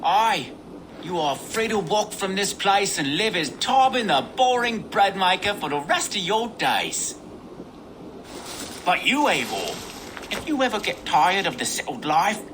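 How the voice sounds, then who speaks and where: An actor playing a sly older bandit gang boss, theatrical and taunting with false geniality, in a video game cutscene. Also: male voice, high voice, sing-song, a little slow, noisy background. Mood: smug.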